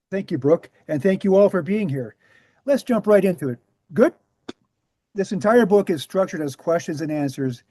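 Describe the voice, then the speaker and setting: A man plays an affable, knowledgeable author in his sixties for a screenplay table read. His voice is soft-edged and relaxed, a little high for a man, and closely dry. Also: dry recording, lightly acted.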